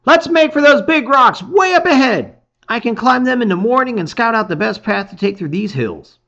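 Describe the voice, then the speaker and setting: A man's voice: very high-pitched, expressive, moderate pace, a bit bright, highs cut off, pitched above the performer's own voice, full character voice. A man voicing a keen young male adventurer in a storybook. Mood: eager.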